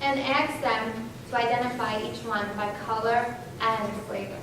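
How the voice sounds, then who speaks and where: A woman with a high voice recites a script in a declamatory stage manner. The sound is very echoey, with clear noise around her. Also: steady tone, measured pace. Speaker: readers theater performer. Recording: echoey hall, distant mic, noisy.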